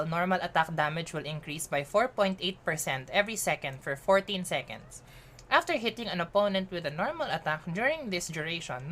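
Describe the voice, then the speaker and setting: A woman with a Filipino accent chatting casually as she streams a game, mixing Tagalog and English and thinking out loud. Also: mid-range voice. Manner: chatty, switching between Tagalog and English mid-sentence; giggly, thinks aloud while playing.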